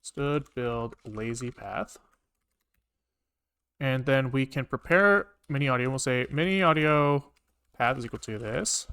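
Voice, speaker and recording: A man's voice: medium pitch, expressive, measured pace, pushed and bright, clear, resonant tone. A man live-streaming a programming session, talking casually to himself with informal, sweary muttering as he works things out. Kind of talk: casual thinking aloud while coding.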